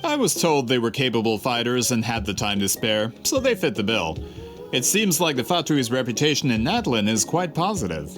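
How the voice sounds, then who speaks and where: Actor as a formal, persuasive publisher, pitch swinging a lot; game dialogue. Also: male voice, big pitch swings, normal speed, over music, lightly acted. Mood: courteous. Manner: formal, courteous, salesman-like. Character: polished adult male book publisher, courteous, persuasive. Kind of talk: video game voice acting.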